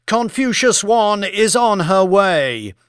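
An earnest TV correspondent, played by a male audiobook narrator, reports live in a projected announcer's manner. The pitch is raised and lively, the pacing measured, and the sound is dry. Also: clean tone, no echo, heavily acted. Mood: excited, urgent. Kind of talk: dramatised audiobook news report.

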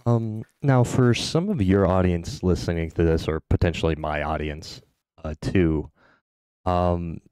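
Man, podcast host, very deep voice, dry close sound, relaxed questions. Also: a little slow, clear, resonant tone, dry recording. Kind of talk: casual interview questions.

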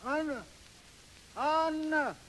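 Old Swedish barge captain: high-pitched, slow, broken English, fond father, vintage radio drama. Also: fairly bright tone, slight background noise, full character voice, rough recording. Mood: anxious, urgent. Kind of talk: 1930s radio drama acting. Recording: old 1930s radio recording.

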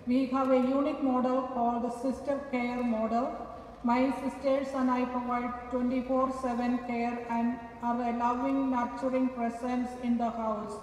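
A woman from India, a nun, reads a prepared statement to the congregation in a measured, even way. Her fairly high voice stays flat. It rings through a large, reverberant church, heard from a distant camera. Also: slight background noise. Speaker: nun speaking to the parish. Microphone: headset mic.

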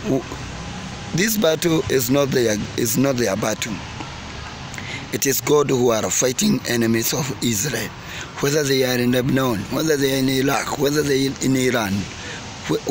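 A man in his forties giving opinionated news commentary to camera, halting and emphatic, repeating his phrases, recorded outdoors at arm's length. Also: mid-range voice. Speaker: vlogger. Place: outdoors by parked cars and trees. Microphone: phone or camera at arm's length. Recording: noisy outdoor recording with traffic ambience. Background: outdoor ambience and vehicles.

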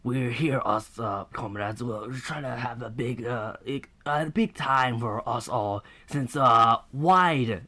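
Someone doing a halting, solemn comrade. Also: medium pitch, fairly bright tone, pitched below the performer's own voice. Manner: halting, rambling. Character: rambling earnest comrade speaker, halting and solemn.

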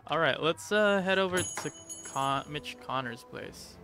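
A man's voice: fairly high pitch, animated, a little slow. A man giving casual let's play commentary, muttering to himself and repeating words as he plays. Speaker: gaming video creator. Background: game music.

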